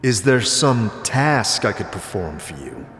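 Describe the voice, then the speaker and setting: An actor playing a weary, determined captive wanderer who asks plain, probing questions in a fantasy audio drama. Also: male voice, on the low side, sing-song, measured pace, fairly bright tone. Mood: pleading, determined. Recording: echoey hall, faint music behind.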